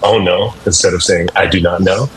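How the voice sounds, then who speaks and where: A man's voice: low-pitched, fairly even intonation, brisk, poor audio. Upbeat man teaching on radio. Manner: upbeat, instructive, drills listeners with call-and-response. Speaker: language teacher segment host. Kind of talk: radio language lesson.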